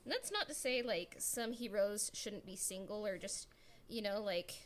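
A woman's voice: mid-range voice, lively intonation, moderate pace. A woman talking casually as a podcast panelist, feeling out her opinions in short, hesitant pieces. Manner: hesitant, piecemeal phrasing with frequent "like". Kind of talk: casual fan discussion. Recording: clear background noise.

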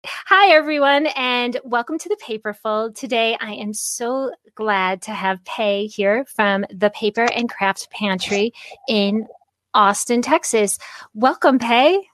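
A woman's voice: fairly high pitch, takes it slowly, no room sound. Fifties woman host, chatty, enthusiastic, dry close-mic read. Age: 50s. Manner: warm, chatty, enthusiastic, laughs often. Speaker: podcast host. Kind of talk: casual interview chat.

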